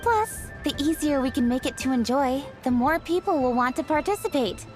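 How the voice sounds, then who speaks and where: An actress playing an earnest young official in a video game, speaking in a measured, explanatory way. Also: female voice, high-pitched, animated, soft background music. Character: young official woman, earnest, proud of tradition.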